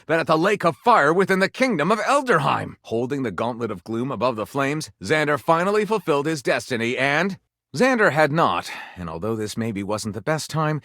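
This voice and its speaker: A man's voice: mid-range voice, a bit bright, no room sound, clean studio sound. A man voicing an overwrought game narrator who tells lurid pulp fantasy in a dramatic, suspenseful style. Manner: theatrical, sweeping, suspenseful delivery. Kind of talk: dramatic scripted storytelling.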